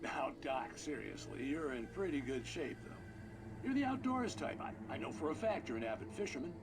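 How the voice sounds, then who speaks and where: Older radio host, jovial, folksy, lively pitch, game character, noisy background. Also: male voice, a little fast, creaky. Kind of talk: folksy radio talk show.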